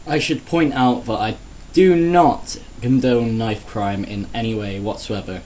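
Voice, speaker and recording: A man's voice: mid-range voice, a little slow, darkish tone. A man giving a sarcastic, crude, rambling comedic rant in a casual vlog. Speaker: vlogger.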